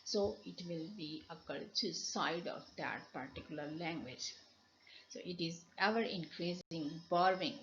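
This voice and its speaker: A woman's voice: on the low side, normal speed. A middle-aged woman with a Pakistani accent and Punjabi as her first language, lecturing from slides and repeating key phrases as she explains. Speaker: university lecturer. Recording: dull lo-fi home recording with noise.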